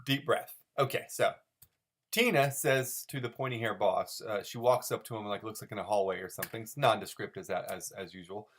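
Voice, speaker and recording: A man's voice: on the low side, moderate pace, a bit bright. Man rambling in mock-serious commentary. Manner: mock-serious, overanalytical, emphatic repetition. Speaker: host of a comic-strip commentary show. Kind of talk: rambling, unscripted solo commentary.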